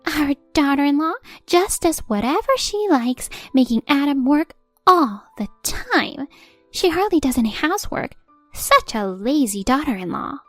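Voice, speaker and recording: A nagging, spiteful middle-aged mother-in-law, put on by a woman reading a story, her voice pitched high and thin and her scolding drawn out slowly. She sneers at everything. The read is dry and close, with soft music under it. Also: big pitch swings, no room sound. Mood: gossiping.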